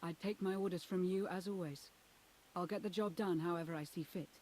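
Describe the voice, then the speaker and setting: A serious female adviser from a video game, acted by a woman. Her voice is fairly low, measured and formal, loyal and resolute. A faint hiss sits behind it. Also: steady tone, darkish tone, lightly acted, rough recording. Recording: clear background noise with hiss.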